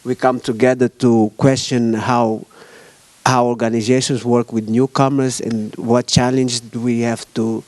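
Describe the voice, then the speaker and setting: A man whose first language is Dutch presents his arts organization in English, speaking haltingly, searching for words and repeating himself. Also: mid-range voice, flat delivery, a little mellow, no echo. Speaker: arts organization staff member presenting at a meeting. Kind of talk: conference presentation.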